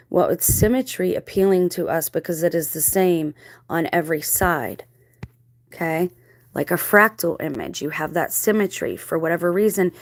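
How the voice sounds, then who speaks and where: Female video host, lowish register, close dry sound; explanatory monologue that keeps putting questions to the listener. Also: vocal fry, no echo. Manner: rhetorical questions, checking in with listener. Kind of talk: explanatory monologue on spiritual theories.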